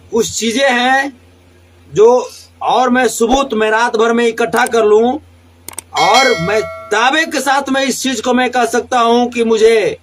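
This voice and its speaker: A man with a high-pitched voice and a Bhojpuri accent rants to a live social media audience. He is combative and defiant, hammering phrases by repeating them. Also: a bit bright. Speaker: singer.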